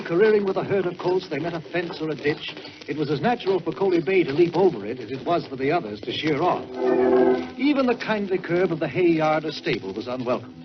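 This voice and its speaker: A man narrates in the grand manner of 1940s radio storytelling. His voice sits fairly high and swings widely in pitch, leaning on key words and pausing for effect. The recording is muffled and vintage. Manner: theatrical, emphatic, deliberate pauses for effect. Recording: dull old-time radio recording with music.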